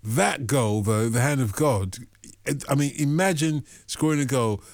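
A man hosting a late-night radio phone-in, playful and animated, in a studio. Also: mid-range voice, measured pace, dry recording. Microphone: studio microphone.

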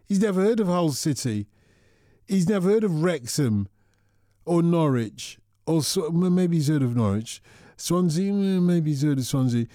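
A man's voice: fairly high pitch, expressive, moderate pace, mellow, no echo, clean studio sound. A man hosting a radio phone-in, chatting casually and thinking aloud with restarts. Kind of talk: casual radio chat with callers.

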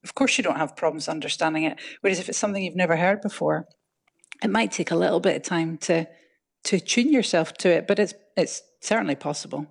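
Middle-aged Scottish woman, academic guest, low gravelly voice, thoughtful explainer. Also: brisk. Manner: explanatory, thoughtful, frequent fillers. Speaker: linguistics professor, guest. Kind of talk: radio interview answers.

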